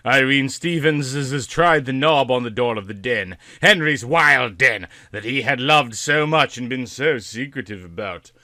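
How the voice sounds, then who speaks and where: A man's voice: mid-range voice, unhurried, few pauses, pushed and bright, dry recording, clean studio sound. A man narrating a story in a theatrical, comic reading style, with dramatic, emphatic phrasing.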